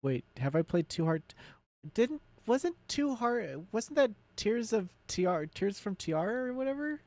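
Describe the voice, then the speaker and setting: A man's voice: sits high, very animated, a little slow, darkish tone, no echo. A man chatting casually as he streams a game, rambling as he thinks aloud, with loose, sweary, nerdy commentary. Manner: self-described nerdy enthusiast. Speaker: game streamer hosting a let's play. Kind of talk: casual live gaming commentary and chat.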